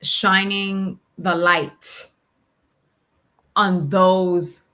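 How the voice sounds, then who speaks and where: Slow, hesitant woman in reflective conversation. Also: lowish voice, steady tone, pushed and bright. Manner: hesitant, searching for words. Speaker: podcast host.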